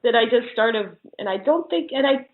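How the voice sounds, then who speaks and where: A woman's voice: on the high side, expressive, normal speed. A woman chatting casually as an interview guest, telling personal anecdotes and often giggling as she talks. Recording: muffled phone-like call audio.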